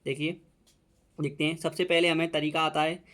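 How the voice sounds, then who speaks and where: Indian male teacher, very slow dictation, flat and even delivery, smooth clear voice, repeats every phrase. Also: on the high side. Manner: mixes Hindi explanation with dictated English. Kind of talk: dictating a letter to students.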